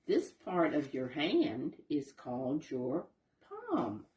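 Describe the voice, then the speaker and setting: A woman's voice: low voice, huge pitch swings, slow, darkish tone, recorded from a distance, exaggerated voice. A woman voicing a tender, reassuring mother raccoon in a children's storybook, soothing and loving with lilting emphasis. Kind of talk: children's storybook read-aloud. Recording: roomy echo, voice away from mic.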